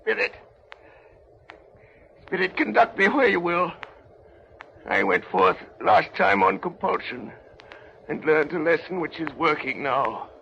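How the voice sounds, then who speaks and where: Humbled older man, slow, gravelly, tense, solemn, old hissy radio recording. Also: high voice, bright, forceful tone, muffled recording, exaggerated voice. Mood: humbled, earnest. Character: older man, humbled and earnest before a spirit. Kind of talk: 1930s radio acting.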